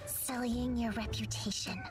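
Sly high-pitched cat girl played by a woman. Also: fairly even intonation, measured pace, lightly acted. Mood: cool, accusing. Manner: teasing, aloof. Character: sly, proud, playful young cat girl. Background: music.

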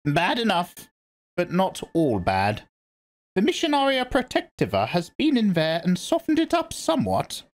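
A man's voice: high voice, huge pitch swings, measured pace, voice pushed up, full character voice. A man doing a stern old woman elder. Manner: sharp, imperious, lecturing.

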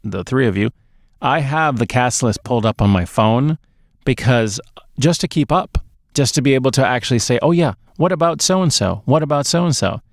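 Guy hosting a movie podcast, lots of vocal fry, dry close mic, chatting casually. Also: medium pitch, expressive, normal speed, no echo. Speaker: podcast host. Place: small dark home studio, indoors. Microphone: close podcast microphone on boom arm.

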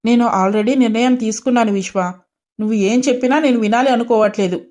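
A woman's voice: fairly high pitch, steady tone, fairly quick, a little mellow, pitched below the performer's own voice, natural voice. A woman voicing a loving, worried and insistent mother of a grown son in a dramatised story, pleading earnestly.

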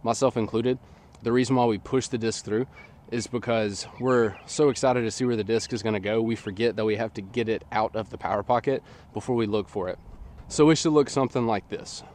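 A man's voice: mid-range voice, fairly quick, a little hiss or hum. Slightly nasal man coaching outdoors. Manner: relaxed, chatty, filler-heavy explaining. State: slightly nasal from a sinus infection. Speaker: disc golf coach. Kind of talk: casual instructional talk. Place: open field outdoors. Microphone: camera on a tripod at a distance.

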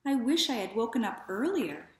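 A woman's voice: a little high, sing-song, normal speed. A woman voicing a young, sleepy female owl in a storybook, friendly and plaintive. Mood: wistful. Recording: echoey living room.

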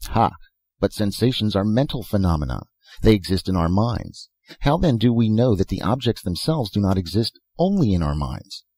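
A man's voice: lowish voice, very animated, moderate pace, dark tone, slightly rough, dry recording. Man acting an eccentric, insistent old bearded professor. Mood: sly, philosophical. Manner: rhetorical questions in short, insistent phrases. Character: elfin bearded old male professor, eccentric, philosophical inventor.

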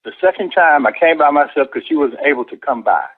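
Man, church deacon, husky voice, lively pitch, defensive, firm, repetitive phone argument. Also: quick pace, phone-quality sound. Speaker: church deacon, prank call victim.

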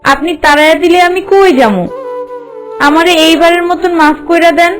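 Very high-pitched, meek young housemaid. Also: female voice, steady tone, normal speed, faint music behind. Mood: apologetic, pleading. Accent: rural Bangladeshi. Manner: meek, deferential, earnest. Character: humble, pleading orphan housemaid girl. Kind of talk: Bengali cartoon voice acting.

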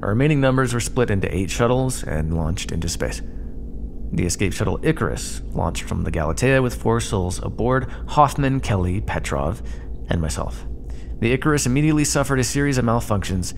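A man's voice: on the low side, expressive, fairly quick. A man playing a sardonic, battered starship first officer dictating a log in an audio roleplay, wry and exasperated, with muttered asides. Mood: weary, dry. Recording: clear background noise under the voice.

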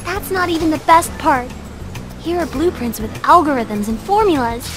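A woman's voice: high voice, expressive, moderate pace, loud music behind the voice. A woman playing a confident, playful young female engineer in a voiced visual novel game. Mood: explaining, eager. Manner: playful, self-assured.

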